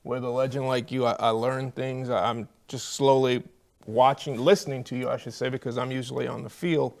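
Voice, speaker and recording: A man's voice: medium pitch, little pitch movement, fairly quick, gravelly, darkish tone. A man hosting a sports show, interviewing guests in a casual, informal and chummy way.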